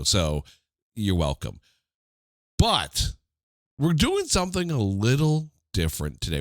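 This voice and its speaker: A man's voice: mid-range voice, fairly bright tone, no room sound, clean studio sound. Nasal, congested man hosting a podcast. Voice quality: slightly nasal. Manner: playful, mock-dramatic asides to listeners. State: congested, under the weather. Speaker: podcast host. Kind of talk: casual podcast chat.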